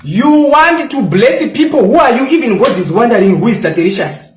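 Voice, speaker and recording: A man's voice: extremely high pitch, animated, a little fast, roomy sound, a little hiss or hum. A Zimbabwean man in his twenties, boastful and mocking as he rants back at a critic and slips English into his talk. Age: 20s. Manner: mixes English into local-language talk. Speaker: social media personality. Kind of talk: angry response rant.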